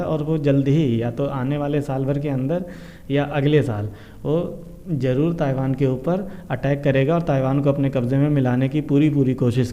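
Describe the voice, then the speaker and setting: A very dark, mellow male voice with an Indian accent, heard through a dull, lo-fi recording. He explains current affairs to viewers informally, circling back over his points and dropping in English terms. His pitch sits at an average level for a man. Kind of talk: informal news explainer commentary addressed to viewers. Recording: dull, muffled lo-fi sound.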